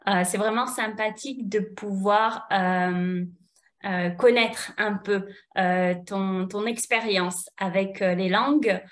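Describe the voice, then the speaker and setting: A woman from France hosting an interview, asking questions slowly with many fillers. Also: mid-range voice, fairly even intonation. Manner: slow, halting, many fillers. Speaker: host, French tutor. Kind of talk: conversational interview.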